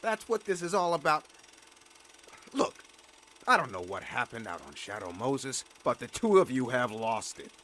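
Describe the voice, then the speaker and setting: A man's voice: medium pitch, big pitch swings, moderate pace, bright, forceful tone, a little hiss or hum. A man playing an impatient, dismissive senior government official in a scripted audio drama, with a curt, pompous manner. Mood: exasperated.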